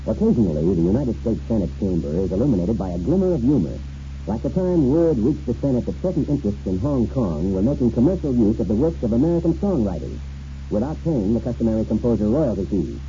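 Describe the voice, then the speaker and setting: Guy narrating a radio feature, dark mellow voice, animated, muffled old recording. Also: on the low side, quick pace, slight background noise. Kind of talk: scripted radio anecdote narration.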